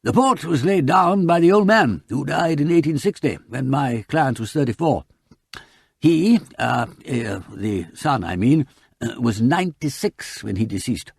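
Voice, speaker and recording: A man's voice: medium pitch, lively intonation, a little slow, creaky, slightly rough, dry recording, studio quality, voice pushed up, full character voice. A man voicing a courteous, old-fashioned elderly English solicitor with a hesitant, precise, formal way of talking in an audiobook. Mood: musing, wistful.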